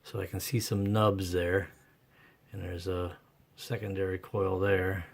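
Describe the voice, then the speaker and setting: A man narrating his own hands-on repair work casually and unscripted, thinking aloud in a halting way. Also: low-pitched, level tone, vocal fry, husky. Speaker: host of a radio repair vlog.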